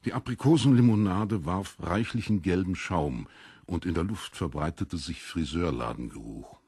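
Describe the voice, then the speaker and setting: Ein Mann liest als Hörbucherzähler einen literarischen Text, mit rauer Stimme und bedachter, dramatischer Phrasierung. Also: male voice, sits low, moderate pace, a little mellow, husky, no echo, studio quality. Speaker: audiobook narrator. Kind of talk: scripted literary narration.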